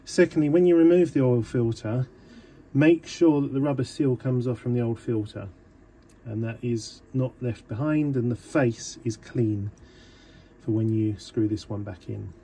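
A man's voice: mid-range voice, unhurried, mellow, slight background noise. A man casually explaining an engine oil change on his boat, talking with many ums into a phone held in one hand in a small cabin. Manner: practical, chatty, many ums. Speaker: boat owner showing engine maintenance. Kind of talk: casual how-to narration.

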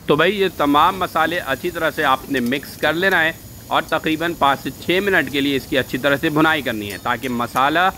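Higher-voiced man narrating a recipe. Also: normal speed, pushed and bright. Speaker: food vlogger and narrator. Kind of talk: instructional recipe voice-over. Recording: faint background noise.

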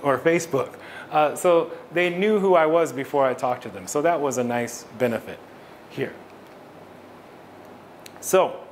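A middle-aged man presenting a business talk to an audience in a small event space, steady and clear with the odd filler and self-correction. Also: on the high side, a little hiss or hum. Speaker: business consultant giving a talk.

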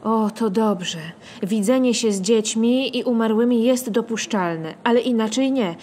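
A timid little girl acted by a woman in a children's radio drama. She is earnest and innocent, speaking slowly in a fairly high voice over faint background noise. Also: dark tone, natural voice. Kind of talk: children's radio play acting.

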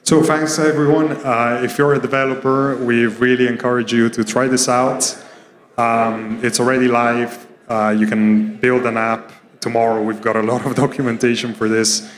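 A man's voice: mid-range voice, little pitch movement, normal speed. Man presenting on stage in a reverberant hall. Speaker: startup co-founder giving a keynote. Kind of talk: conference product presentation. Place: indoor conference stage. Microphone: handheld microphone.

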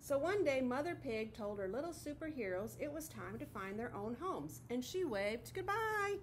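A woman's voice: sits high, sing-song, normal speed, a little hiss or hum. A middle-aged woman teacher reading a picture book aloud expressively to children, recorded in a classroom. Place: classroom, indoors.

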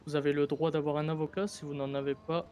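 Male online roleplayer as by-the-book cop, slightly high pitch, faint game noise. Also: flat delivery, fast, a little mellow. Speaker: roleplayer playing a police officer.

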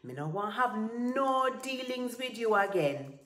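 A scornful, indignant storybook woman played by a female narrator, speaking Caribbean Creole with cold contempt. Her pitch sits raised above plain narration, in a roomy recording. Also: big pitch swings, takes it slowly, a bit bright, heavily acted. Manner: cool, scornful, spitting.

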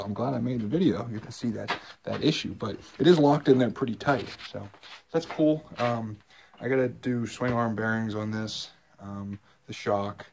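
A man with a very low voice talks through a do-it-yourself project in a home basement workshop. He rambles casually, stopping and restarting with plenty of fillers. He films on a handheld phone, and a faint hiss runs under his voice. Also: creaky. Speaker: vlogger. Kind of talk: casual show-and-tell vlog. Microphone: handheld phone camera.

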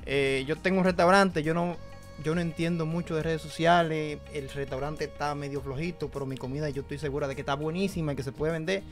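Podcast host, man, brisk questions, studio mic, light music bed. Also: a little high, fairly even intonation. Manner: quick questions, lots of short backchannel replies. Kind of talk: casual interview chat. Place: small studio with a patterned wall. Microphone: studio mic on a stand, headphones.

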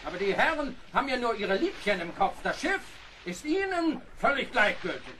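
A man's voice: very high voice, big pitch swings, moderate pace, a bit bright, slight background noise, exaggerated voice. A man playing a tyrannical, suspicious ship's captain in a historical radio play, barking orders and sarcastic accusations. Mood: biting sarcasm.

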